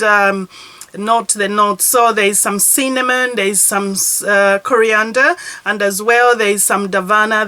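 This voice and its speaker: A woman's voice: mid-range voice, bright, forceful tone. A woman reviewing her perfume collection to camera in a chatty, gushing, enthusiastic way. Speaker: fragrance vlogger. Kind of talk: casual product review to camera.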